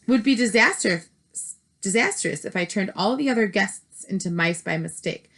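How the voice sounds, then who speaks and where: A woman's voice: mid-range voice, expressive, moderate pace, fairly bright tone. A woman voicing a clever young boy who has been turned into a mouse, speaking eagerly in a storybook read-aloud.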